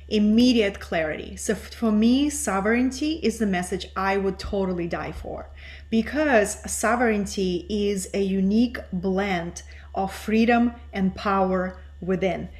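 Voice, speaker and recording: A middle-aged woman from Russia, whose first language is Russian, speaking as an interview guest in an earnest, measured, teacherly way. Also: mid-range voice. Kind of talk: reflective interview talk.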